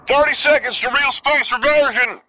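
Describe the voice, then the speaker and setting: A man voicing a brisk starship crew member, clipped and urgent through a radio comm filter. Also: very high-pitched, fairly even intonation, pushed and bright, pitched above the performer's own voice, low-quality recording. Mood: urgent countdown.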